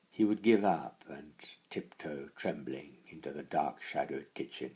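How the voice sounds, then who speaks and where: A man reading a dark storybook tale in a theatrical manner, moving between hushed and swelling phrases. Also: very deep voice. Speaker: author reading his own story. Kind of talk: dramatic storybook narration.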